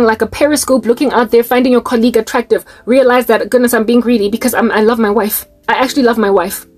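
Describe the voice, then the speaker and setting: A South African woman in her late thirties, preaching to her viewers in an exhorting, rhetorical monologue. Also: fairly high pitch. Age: 30s.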